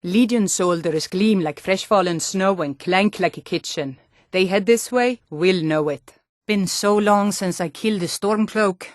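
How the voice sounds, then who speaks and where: A battle-ready Nord woman from a fantasy video game, acted by a woman, gruff and proud. Her voice sits in an average female register with a blunt, martial force. The sound is very dry, with no room around it. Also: medium pitch, a little slow, dry recording, lightly acted. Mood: proud, resolute, then grumbling.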